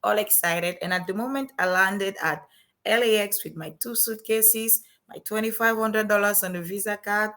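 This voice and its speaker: A woman's voice: medium pitch, fairly even intonation, moderate pace, a bit bright. A young woman from Cameroon with a French-Cameroonian accent, speaking as an earnest, motivational guest on an interview show. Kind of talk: interview chat.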